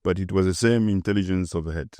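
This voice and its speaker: A middle-aged man from the Ivory Coast hosting an interview and asking calm, probing questions. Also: low voice, quick pace, no room sound, studio quality. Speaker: podcast host. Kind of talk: interview conversation.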